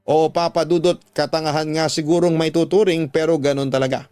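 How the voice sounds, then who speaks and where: Sorrowful male storyteller, highish and dry. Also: steady tone, a little fast, a little mellow, clear, resonant tone, dry recording. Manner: dramatic, sorrowful. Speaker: radio drama narrator reading a letter sender's story. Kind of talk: emotive scripted first-person narration. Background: faint background music.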